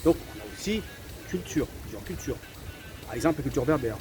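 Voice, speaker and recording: A man's voice: mid-range voice, animated, brisk, darkish tone, soft background music. A man from France giving his opinion to camera, hesitant, with many fillers and repeated words between firm statements. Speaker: vlogger.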